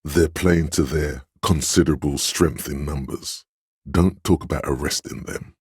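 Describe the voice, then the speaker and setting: An actor playing a commanding, domineering inner voice of authority in a video game, speaking with a very deep, resonant bass in a measured, imperious manner. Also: male voice, a little mellow, husky, no echo, studio quality, heavily acted. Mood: grave, measured. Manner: imperious, measured, scolding and goading. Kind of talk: dramatic game narration, inner-voice lines.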